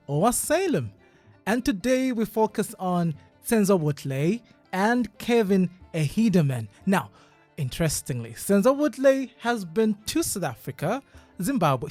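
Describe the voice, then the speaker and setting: A man hosting an interview, speaking with lively warmth and backing his guests with constant affirming murmurs. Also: high-pitched. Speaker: host.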